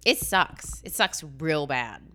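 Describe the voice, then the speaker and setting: A woman's voice: sits low, big pitch swings, slow pace, bright, forceful tone, clear, resonant tone. A young adult woman, congested with the flu, co-hosting a podcast in frank, profane casual chat. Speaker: podcast co-host.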